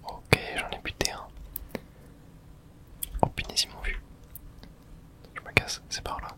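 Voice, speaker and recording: A gamer gives ASMR let's play commentary entirely in a breathy whisper. The sound is bright and airy, with no real voicing. The delivery is informal, muttered reactions to the game with the odd mild swear word. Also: unhurried. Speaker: gamer streaming playthrough for viewers.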